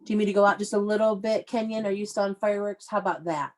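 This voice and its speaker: A woman's voice: medium pitch, fairly even intonation, racing. A woman working as an elementary school teacher, leading an interactive lesson for young children with encouraging praise and step-by-step instructions.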